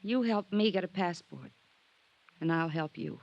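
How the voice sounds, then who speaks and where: An actress playing a sultry, world-weary drifter stranded abroad in a 1950s radio drama, earnest and pleading, with weary pauses. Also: female voice, lowish voice, muffled recording. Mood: bargaining, guarded. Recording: old radio drama recording.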